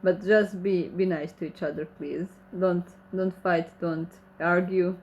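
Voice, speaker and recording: A woman's voice: on the low side, fairly even intonation, a little slow, a little mellow, lo-fi sound, a little hiss or hum. A woman with a Croatian accent, who speaks Croatian natively, hosts a casual livestream Q&A. She reads viewer comments aloud and answers them in friendly, slightly broken English. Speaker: channel host reading chat questions.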